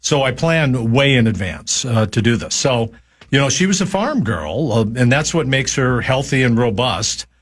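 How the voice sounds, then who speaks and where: Man in his 70s, from minnesota, folksy halting storyteller, radio guest, casual chat. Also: mid-range voice, animated, slightly rough. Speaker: regular guest.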